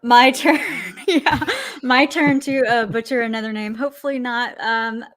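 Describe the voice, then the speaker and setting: A woman's voice: fairly high pitch, steady tone, unhurried, fairly bright tone. A woman co-hosting a live conference stream, chatting casually and giggling between talks. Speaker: event co-host.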